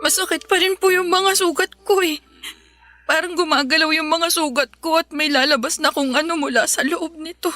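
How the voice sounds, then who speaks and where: A suffering young Filipino woman, gentle and polite. Her voice is very high and bright, with a pained, hesitant delivery. Soft music sits behind the drama. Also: steady tone, moderate pace, no room sound. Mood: pained, tearful. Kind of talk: Filipino radio drama acting.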